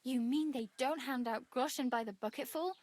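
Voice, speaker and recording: A woman's voice: high-pitched, normal speed, darkish tone, no echo, natural voice. A woman playing a friendly, plucky young wandering beggar in a medieval video game, chatty and wry. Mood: wry, hopeful. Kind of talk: video game dialogue acting.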